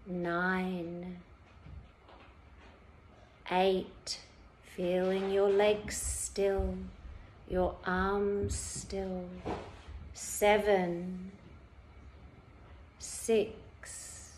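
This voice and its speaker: Very slow-paced woman guiding gentle relaxation. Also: fairly low pitch, level tone, slight background noise, rough recording. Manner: gentle, instructional, short phrases with pauses. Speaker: relaxation session guide. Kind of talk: guided body scan meditation.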